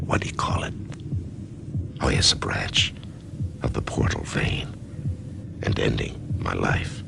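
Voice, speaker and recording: An actor playing an author who narrates his own death in a whispery, hushed voice, stammering with wonder, in a radio drama. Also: rumbling low, gravelly, very noisy, full character voice. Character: dying male author, awed, curious. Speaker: narrator and lead.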